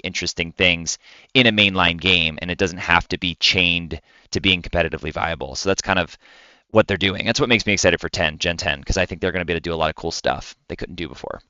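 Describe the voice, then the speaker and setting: A man's voice: deep voice, bright, forceful tone, clean tone, dry recording, dull audio. A man talking casually and enthusiastically, in an explanatory way, as a podcast co-host on video games.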